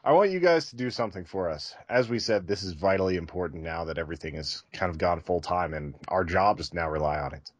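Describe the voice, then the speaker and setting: Male podcast co-host, deep voice, dry sound, casual conversational read. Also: expressive, fairly quick, few pauses, no room sound, muffled recording.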